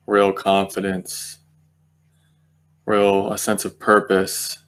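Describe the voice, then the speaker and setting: A man with a low voice guides a meditation in a very flat, even tone. He speaks gently and unhurriedly, leaving long pauses between phrases as he finds his words. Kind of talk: guided meditation, unscripted spiritual talk.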